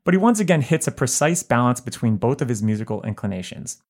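A man narrating a written album review in a measured, articulate way. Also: mid-range voice, big pitch swings, a little mellow. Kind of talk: scripted narration.

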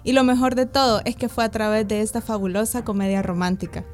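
A woman's voice: on the high side, steady tone, quick pace, soft background music. A woman with a Salvadoran accent co-hosting a radio segment, reading prepared notes in an upbeat way. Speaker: radio co-host.

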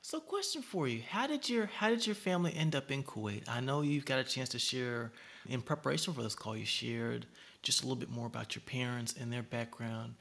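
A man hosting a podcast interview, upbeat and effusive, with the host's warm enthusiasm for his guest. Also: mid-range voice, brisk, vocal fry. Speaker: podcast host.

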